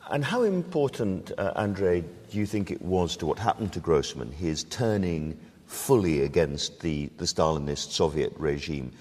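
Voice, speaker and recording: A man hosting a conversational literary discussion, with a husky voice and questions put to his guests. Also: low voice, big pitch swings, normal speed, darkish tone. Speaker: host moderating a discussion. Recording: very reverberant hall.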